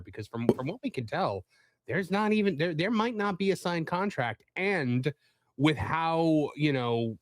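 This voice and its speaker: Halting man on a panel, dry close sound. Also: mid-range voice, no room sound. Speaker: panelist and entertainment reporter. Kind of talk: casual panel discussion.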